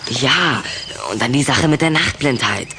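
A man's voice: medium pitch, lively intonation, a little slow, background noise, natural voice. A man playing an earnest, suspicious boy in a children's radio play, hesitant and prone to stammering. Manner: hesitant, stammering when flustered.

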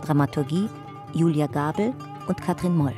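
A woman narrating a radio drama in a calm, measured reading. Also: deep voice, over music.

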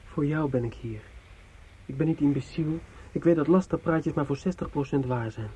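An actor playing an earnest, devoted young student in love in a Dutch radio play, speaking gently and haltingly. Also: male voice, fairly high pitch, husky, poor audio. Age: young adult. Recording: old radio recording with faint noise.

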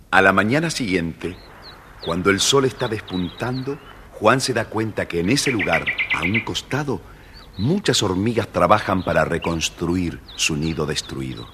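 A man's voice: low-pitched, moderate pace, fairly bright tone. A man with an Argentine accent narrating a scripted children's storybook. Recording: hall reverb, faint background noise.

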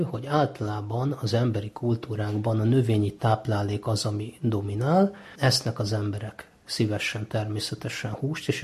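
A man explaining things as an expert interviewee in a measured, explanatory manner. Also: on the low side, a little mellow, slightly rough.

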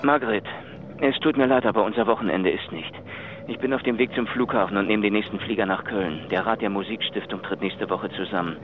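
An actor playing a hurried, apologetic man calling his lover in a German radio drama, as an answering machine message. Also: fairly low pitch. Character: hurried, apologetic male lover leaving message while travelling. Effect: answering machine phone message. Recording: phone line with traffic noise.

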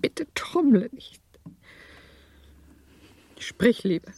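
An actress playing a middle-aged wife and mother in a radio play, principled and stern, with a measured, insistent, husky delivery. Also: on the high side, sing-song, dark tone, full character voice. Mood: reproachful, insistent.

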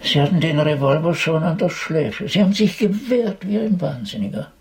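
An actor playing a soothing, patronising physician of old Vienna in a radio drama, placating and professional. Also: male voice, a little high, expressive, normal speed. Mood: soothing, explaining.

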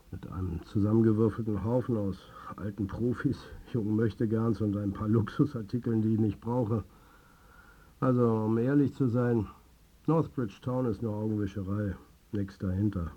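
An actor playing a wry, beleaguered, football-mad club manager in a radio play, talking in a colloquial, confiding way. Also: male voice, on the low side, slightly rough, lo-fi sound. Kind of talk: radio drama narration and dialogue.

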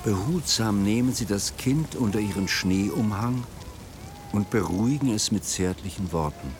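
A man's voice: on the low side, animated, unhurried, a little mellow, a little hiss or hum. A man reading scripted storytelling narration for a radio play. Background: music.

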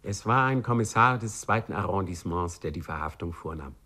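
A man's voice: low voice, expressive, fairly quick, sharp tone, exaggerated voice. A man narrating a 1950s German radio play as a wry literary storyteller.

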